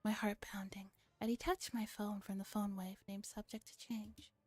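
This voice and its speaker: A woman streamer reading a visual novel aloud in a hushed, dramatic ASMR style, often slipping into a whisper. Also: medium pitch, level tone, normal speed, dry recording, faint music behind.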